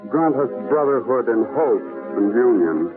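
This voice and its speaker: A tinny old radio broadcast with music behind carries a man acting as a timeless everyman. He declaims in a medium-pitched voice, earnest, solemn and measured, like a prayerful 1940s dramatic recitation. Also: expressive, close to an everyday voice. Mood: solemn, hopeful. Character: solemn, visionary everyman, timeless spirit of mankind.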